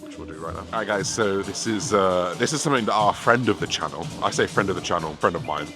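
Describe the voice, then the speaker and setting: Raspy low-voiced man with half West Country accent. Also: lively intonation, fast talker. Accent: half West Country English. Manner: chatty and upbeat, swears casually. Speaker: fitness coach and bodybuilder. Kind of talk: casual vlog talk to camera. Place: home, then gym. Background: music.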